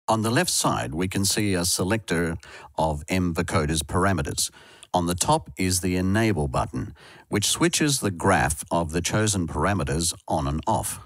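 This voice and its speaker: A man reading a scripted instructional narration in a measured, explanatory way. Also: sits low, no echo, studio quality. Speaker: software tutorial narrator.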